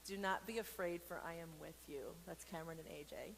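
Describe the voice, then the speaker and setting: A woman's voice: lowish voice, fairly quick, vocal fry. Woman, echoing hall, some background noise, casual children's read-aloud, playful, chatty asides, questions to listeners. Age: adult. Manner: chatty, playful, rambling asides with comic exaggeration; speaks directly to viewers, asking questions. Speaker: host reading a picture book to children during an online church service. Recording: long reverberant hall echo, background noise.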